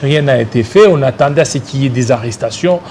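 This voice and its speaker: A man's voice: medium pitch, fast talker, darkish tone, a little hiss or hum. A man with a Congolese French accent hosting a political interview, putting probing, challenging questions. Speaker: interviewer, host.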